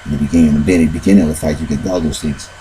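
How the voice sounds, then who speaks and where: Deep, dark-voiced man telling a rambling tale. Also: steady tone, a little hiss or hum, rough recording. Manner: halting, loose grammar, frequent fillers; retells events with shifts into quoted dialogue. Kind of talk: rambling personal storytelling.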